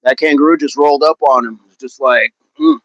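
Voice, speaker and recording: A man's voice: a little high, a little fast, fairly bright tone, no echo, highs cut off. A man hosting a fitness livestream, chatting casually and answering viewer comments in an upbeat, laughing, repetitive way. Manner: chatty, upbeat, laughs often, repeats phrases.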